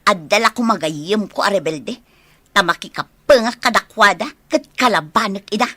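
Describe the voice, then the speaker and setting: A woman's voice: medium pitch, normal speed, pushed and bright, dry recording. A woman with an Ilocano accent playing a spurned, resentful village woman in a comedy radio drama, exclaiming indignantly. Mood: bitter, vengeful.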